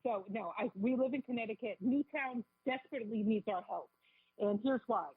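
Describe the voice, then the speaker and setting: A woman with a cold and a raspy voice, calling in as a radio guest and speaking fervently and quickly. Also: fairly high pitch, no echo. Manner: fervent, rapid, repeats herself. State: has a cold. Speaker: caller guest. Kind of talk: phone-in talk radio interview. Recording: tinny phone line.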